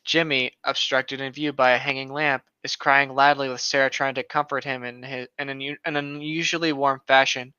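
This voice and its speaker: A man's voice: mid-range voice, level tone, normal speed, few pauses, bright, forceful tone, clear, resonant tone, no echo, dull audio. A man co-hosting, reading a story aloud with casual, sweary commentary and stopping to correct himself. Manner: sweary, self-correcting reader. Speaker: co-host.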